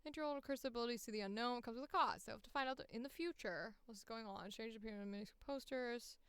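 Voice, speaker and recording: A woman with a fairly high, smooth and clear voice chats informally on a livestream. She reads listings aloud and comments as she thinks. The sound is very dry. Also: fast, fairly bright tone, dry recording. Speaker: voice actor, streamer. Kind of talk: casual livestream chat, reading aloud and commenting.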